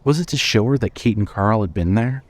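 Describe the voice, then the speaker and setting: A man's voice: lowish voice, expressive, fairly quick. A man reading scripted narration for a horror fiction audio drama.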